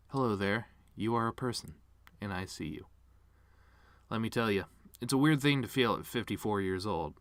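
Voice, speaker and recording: A man playing a bitter, wounded middle-aged TV star in a sardonic, theatrical eulogy monologue, swinging between outbursts and hushed asides. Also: lowish voice, no room sound, close to an everyday voice. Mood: somber, hurt. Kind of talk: dramatic monologue reading, fan performance.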